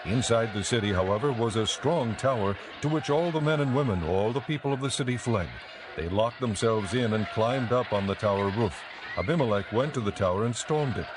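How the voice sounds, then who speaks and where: A man narrating a dramatized audio Bible in a measured, clearly enunciated storytelling style. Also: mid-range voice, expressive, background noise.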